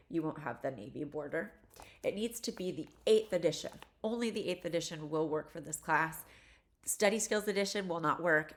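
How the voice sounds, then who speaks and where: A woman in her forties, a college instructor, casually talking through a course introduction video, congested and stuffy from allergies, chatty and rambling with lots of fillers. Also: low-pitched.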